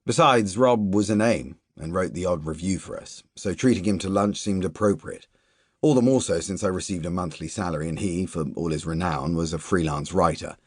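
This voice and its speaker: A man reading a literary novel aloud as a first-person audiobook narrator, measured and even, dropping to quiet asides in the dialogue. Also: low voice, no echo, clean studio sound.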